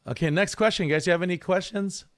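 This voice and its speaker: A man's voice: sits high, quick pace. A man hosting a livestream, chatting casually and joking with a guest, his voice a bit tired from lost sleep. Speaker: streamer host.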